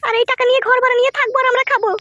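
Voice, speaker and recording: A cartoon village wife with an extremely high, shrill voice. She talks very fast, nagging and insisting with a sharp, foul-mouthed tongue. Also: level tone, no echo, muffled recording. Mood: pleading, insistent. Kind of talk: comic cartoon dialogue.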